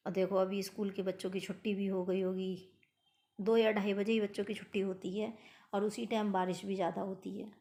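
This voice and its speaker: A middle-aged woman with a hoarse, mellow voice narrates her day in a casual, homely way, speaking straight to her viewers. Her pitch stays flat and even. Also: a little fast. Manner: chatty, homely, talks to viewers directly. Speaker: vlogger. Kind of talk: casual daily-routine narration.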